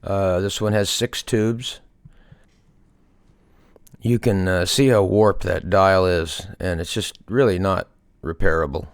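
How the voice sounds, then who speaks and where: A man with a fairly low voice and a crackly, fry-laden edge talks through a project as a hobbyist. His delivery is unscripted and casual, a little hesitant as he works things out aloud. The sound is dry, with very little room in it. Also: steady tone, takes it slowly, pauses often, no room sound. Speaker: hobbyist restorer presenting a project. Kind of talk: casual unscripted show-and-tell narration.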